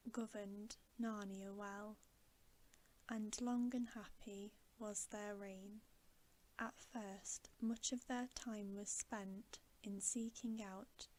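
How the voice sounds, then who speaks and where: A woman reading a bedtime story for ASMR in a soft, gentle, breathy voice that often drops to a whisper. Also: medium pitch, slight background noise, low-quality recording. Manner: hushed, unhurried, gentle. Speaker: ASMR reader.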